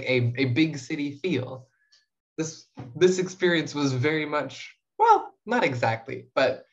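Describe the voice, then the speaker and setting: A young Canadian woman from small-town New Brunswick chatting casually as a podcast guest, playful and self-deprecating. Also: rumbling low. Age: young adult. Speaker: student writer, podcast guest. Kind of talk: casual interview chat. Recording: dull lo-fi podcast audio.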